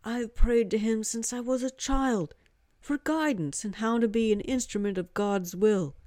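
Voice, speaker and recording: A woman's voice: on the high side, a little slow, warm tone, no echo, voice pushed up, natural voice. A woman voicing an earnest, devout young man of twenty who speaks insistently.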